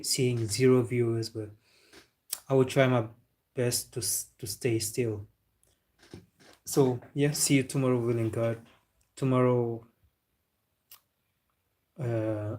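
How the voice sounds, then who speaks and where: A young man hosting a football live stream and reading out viewer comments. He chats loosely at a mid pitch, calls his viewers bro and laughs easily. He sounds relaxed and unscripted. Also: a little slow, long pauses.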